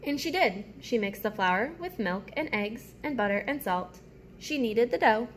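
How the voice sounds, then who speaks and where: A woman reading a picture book aloud as a teacher, with simple, deliberate phrasing and asides to young listeners. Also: on the high side, lively intonation, a little hiss or hum.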